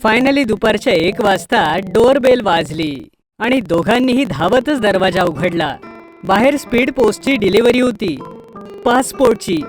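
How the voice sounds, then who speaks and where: A woman's voice: mid-range voice, lively intonation, measured pace. A woman reading a scripted children's story as its narrator. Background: music.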